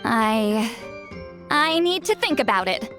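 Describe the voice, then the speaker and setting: A woman's voice: on the high side, very animated, slow pace, over music. A woman playing a proud, prickly centuries-old shaman in an anime-style video game, with a haughty, curt manner. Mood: hesitant. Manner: haughty, curt, theatrical sighs and threats.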